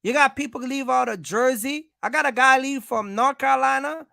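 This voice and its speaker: An American livestream coach with a bright, forceful voice that often breaks into creak. The delivery is emphatic and repetitive, like informal advice to followers, and the sound is dry and close. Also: high-pitched, normal speed, dry recording. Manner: emphatic, repetitive, checks in with listeners. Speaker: trucking business coach and livestream host.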